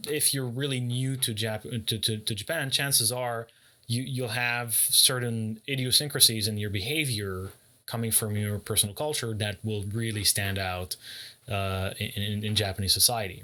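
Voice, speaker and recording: Male vlogger, booming and forceful, slightly low-pitched; casual, reflective explainer who pauses and corrects himself often. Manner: thoughtful, explains with many pauses and self-corrections. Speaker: martial arts vlogger. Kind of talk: casual explanatory talk to camera.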